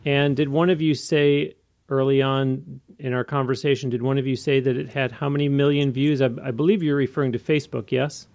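A man's voice: medium pitch, flat delivery, fairly quick, vocal fry, darkish tone. A man hosting an interview, polite and affirming as he asks questions. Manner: polite, affirming, says "I see" often. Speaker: podcast host. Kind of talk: interview host. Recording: dull lo-fi audio.

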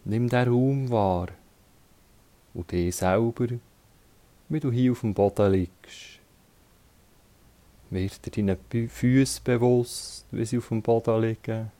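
Very slow, mellow Swiss German man. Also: medium pitch. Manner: calm, instructing in short phrases with long pauses. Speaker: yoga teacher. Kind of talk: guided relaxation meditation.

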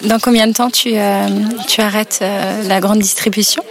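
A woman's voice: medium pitch, fairly even intonation, normal speed, vocal fry. A woman hosting a podcast, introducing and interviewing in an informal way.

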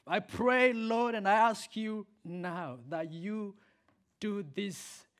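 A man preaching a sermon and leading a prayer, a native Swahili speaker who swings from loud emphatic peaks to soft quiet lines. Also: sits high, steady tone, takes it slowly, smooth.

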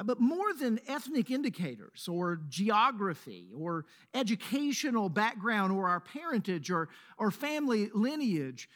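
Man, Mississippi accent, pastor, high voice, earnest sermon, deliberate pauses. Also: a bit bright. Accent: Mississippi Southern. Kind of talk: sermon with opening prayer. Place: church sanctuary.

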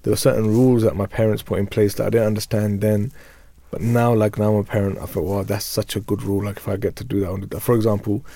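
A man's voice: fairly low pitch, flat delivery, fast, hardly any pauses, warm tone, dry recording. A man in his thirties, co-hosting a radio discussion, whose first language is Urdu, telling candid, reflective stories from his childhood. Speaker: radio show co-host. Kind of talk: casual panel discussion.

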